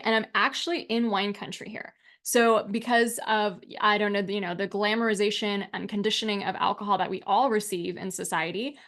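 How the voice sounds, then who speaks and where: A woman's voice: mid-range voice, fairly bright tone. A woman in her thirties from the United States, teaching an online class as a coach in a chatty, upbeat way, with lots of "like" and "right?". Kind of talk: casual spoken class presentation over slides. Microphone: webcam and desk mic on a video call.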